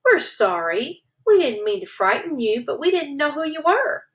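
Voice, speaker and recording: This is a shy young skunk from a picture book, voiced by a woman reading aloud. He sounds high and childlike and squeals when upset, with a lively, bouncing pitch. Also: normal speed. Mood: gentle. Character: young male skunk, shy then brave.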